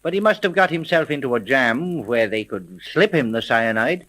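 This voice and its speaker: An actor playing a gruff, suspicious veteran police sergeant in a 1930s radio serial, blunt and slangy. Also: male voice, medium pitch, animated, moderate pace, a bit bright, lightly acted, poor audio. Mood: suspicious, wary.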